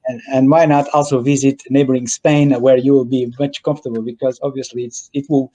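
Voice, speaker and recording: A man's voice: mid-range voice, flat delivery, a little fast, warm tone, dull audio. A man hosting an interview, speaking with hesitant fillers and slightly non-native phrasing, over a video call. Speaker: host, event organizer. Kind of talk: interview host.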